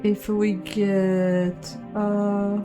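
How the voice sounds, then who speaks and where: A woman's voice: mid-range voice, flat delivery, very slow, music in the background. A woman giving casual, playful let's play commentary, chatting and thinking aloud as she goes. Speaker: host of a game playthrough.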